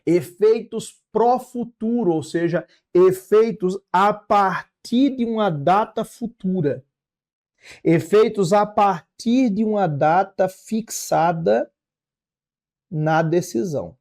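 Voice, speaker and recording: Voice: medium pitch, slow pace, darkish tone. A Brazilian law teacher giving an explanatory lecture, didactic, repeating and rephrasing key phrases with short check-in tags.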